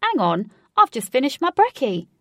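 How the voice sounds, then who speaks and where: Woman playing a brash stocky lad, loud, blustering, cheeky, storybook voice. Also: high voice, wildly swooping pitch, moderate pace, dry recording, clean studio sound, voice pushed up, full character voice.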